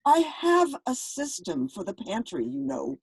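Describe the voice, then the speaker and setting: A woman playing an exasperated, fussy middle-aged wife and mother in a radio play, sharp-tongued and insistent. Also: mid-range voice, wildly swooping pitch, measured pace, husky, no room sound, exaggerated voice. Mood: irritated.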